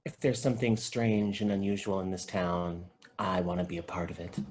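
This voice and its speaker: A man's voice: sits low, level tone, normal speed, darkish tone, lightly acted. Goofy, chatty male video store clerk, acted. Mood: low, serious. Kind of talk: table read of a comedy TV pilot.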